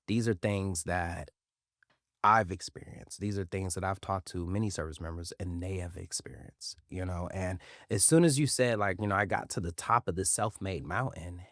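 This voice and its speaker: A man's voice: sits low, no room sound, clean studio sound. A man from the United States, a podcast host who talks in an earnest, emphatic way and often repeats words as he starts a thought. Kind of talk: casual interview chat.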